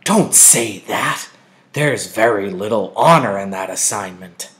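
A man's voice: on the low side, expressive, unhurried, a bit bright. A man voicing a stern mother in an audiobook, firm and emphatic as she instructs her child. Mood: sharp.

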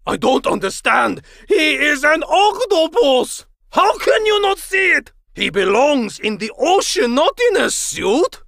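An actor playing a crazed, vengeful chef, a melodramatic video game villain who rants and threatens in clipped, broken English. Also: male voice, very high-pitched, very animated, unhurried, vocal fry, few pauses, fairly bright tone, dry recording, studio quality, exaggerated voice. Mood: exasperated, vengeful. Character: crazed, vengeful chef obsessed with exposing an octopus. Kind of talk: over-the-top comedic video game villain acting.

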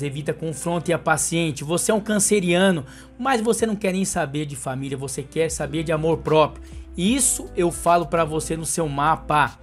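A man in his thirties with a Brazilian Portuguese accent, reading a daily horoscope and pitching readings in a chummy, coaxing way that leans on pet names for the listener. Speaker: horoscope channel host. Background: light background music.

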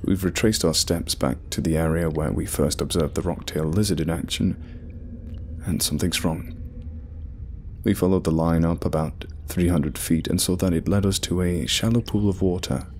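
A man playing a shaken scientist who records a tense log deep inside a cave. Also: fairly even intonation, moderate pace, a little hiss or hum. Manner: low hushed whispering, tense. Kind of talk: horror audio drama narration.

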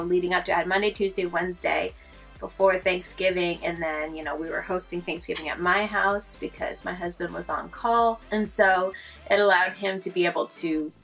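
A woman's voice: on the low side, gravelly, fairly bright tone. A young woman with a stuffy, congested voice, chatting casually and rambling with fillers as she does a makeup tutorial at home, close to the camera. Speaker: beauty vlogger. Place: small room at home, indoors.

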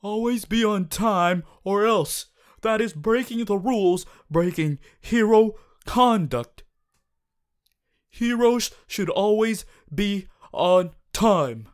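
Guy doing strict anime class prez teen boy, earnest n stiff, kinda high voice, talks slow, lecturing in an audio roleplay. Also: lively intonation, clear, resonant tone. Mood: indignant. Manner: formal, preachy, exasperated, stiff phrasing. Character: strict, earnest teenage male class president, hero student. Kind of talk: ASMR character audio roleplay monologue.

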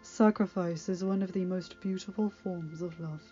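A woman voicing an ancient, kindly enchantress and old teacher, speaking slowly and fondly. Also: fairly low pitch, steady tone, mellow, slightly rough, lo-fi sound, faint music behind. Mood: gentle.

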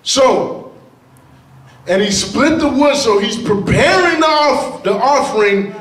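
A middle-aged man preaches in a very high voice, reading scripture and driving his points home with sudden shouts. He speaks into a handheld mic in a large church hall, heard from a distance with a roomy echo. The congregation murmurs faintly behind him. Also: animated, slow pace. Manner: emphatic, bursts into shouted lines. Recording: echoey hall, heard from afar. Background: congregation responding.

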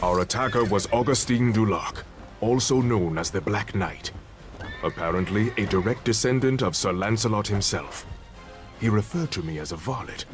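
An actor playing a noble superhero king who narrates his quest in a grave, formal, measured way for a video game. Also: lowish voice, close to an everyday voice. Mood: wary, grave. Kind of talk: scripted dramatic game narration. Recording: music behind the voice.

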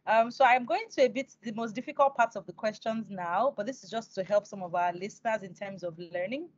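A woman's voice: mid-range voice, a little fast, no room sound. A young woman hosting a conversational interview over a video call. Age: young adult. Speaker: interviewer, host. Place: indoors, close to the device, dry sound. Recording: dull lo-fi video-call audio.

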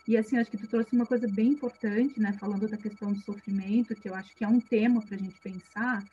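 A woman's voice: mid-range voice, fairly even intonation, fast, warm tone, husky. A woman, a psychologist reflecting aloud in a panel discussion, hesitant and full of tag questions, heard over a video call. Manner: reflective, many hesitations and "né" tags. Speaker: psychologist in a migration project. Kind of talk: thoughtful spoken reply in a panel discussion. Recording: dull lo-fi video call audio with faint hum.

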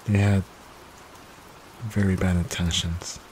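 A man playing a timid, gentle young catboy in an ASMR roleplay, speaking hesitantly with stammers. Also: low voice, takes it slowly, low-quality recording. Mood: sad, confiding. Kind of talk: soft-spoken ASMR roleplay. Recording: steady rain noise behind voice. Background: rain.

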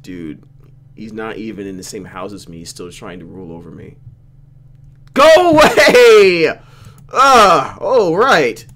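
A man's voice: medium pitch, animated, slow pace, fairly bright tone, slight background noise. A man reading a game aloud as a host, with casual narration and slangy, mock-exasperated asides. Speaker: let's play host reading a visual novel aloud. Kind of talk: casual gameplay commentary and read-aloud narration.

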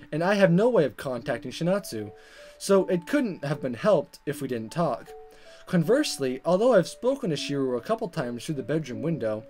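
A woman let's player reading a visual novel aloud in expressive narration with informal asides and stumbles over the script. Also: low voice. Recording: music behind the voice.

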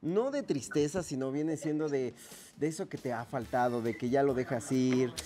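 A woman's voice: rumbling low, moderate pace, dark tone. A woman, a playful, teasing co-host in a TV studio. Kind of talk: joking banter.

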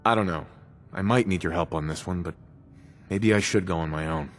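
A man's voice: sits low, fairly quick, close to an everyday voice. An actor with an American accent playing a tough, sardonic male survivor in a video game, speaking tersely. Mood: unsure. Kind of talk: video game protagonist dialogue. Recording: game music clearly behind the voice.

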